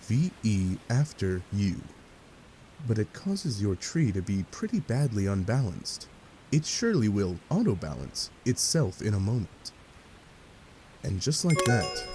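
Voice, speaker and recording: Casting: male narrator for a wry story read-through; fairly deep, mellow voice, faint hiss behind it. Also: sing-song, a little slow, rough recording. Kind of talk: scripted second-person story narration.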